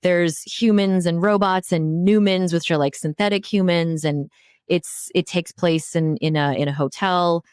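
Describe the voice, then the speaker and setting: A woman with a fairly low voice hosts a conversational podcast interview. She is informal and works out her questions aloud. The sound is close and dry. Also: steady tone, unhurried, a little mellow, smooth, dry recording. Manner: informal, thinking aloud while framing questions. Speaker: podcast host interviewing a producer.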